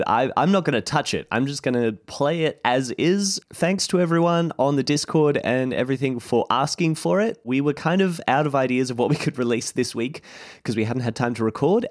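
A man's voice: mid-range voice, animated, brisk, no echo, studio quality. A man hosting a podcast, speaking to listeners in an informal, rambling introduction and often correcting himself. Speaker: podcast host introducing an archive episode. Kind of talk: casual spoken introduction to listeners.

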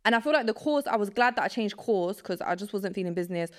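A woman's voice: medium pitch, fast talker. Young woman guest chatting, lots of fillers. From Poland. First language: Polish. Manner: relaxed, rambling, heavy use of filler words. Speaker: podcast guest. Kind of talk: casual chat.

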